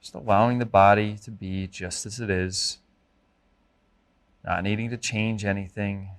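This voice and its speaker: A man instructing a guided body scan meditation in a gentle, measured, instructive manner. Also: fairly low pitch, steady tone.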